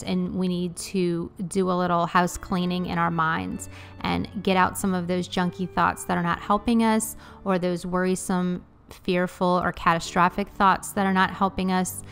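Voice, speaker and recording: A woman's voice: lowish voice, creaky, fairly bright tone. A woman in her thirties giving an earnest, encouraging voice-over for her cleaning vlog. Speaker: cleaning vlogger.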